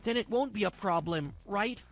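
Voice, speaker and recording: A woman's voice: mid-range voice, expressive, moderate pace, soft background music, lightly acted. A woman voicing a self-pitying, evasive young husband in a dubbed manga drama, stammering and pleading his excuses. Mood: flustered excuses.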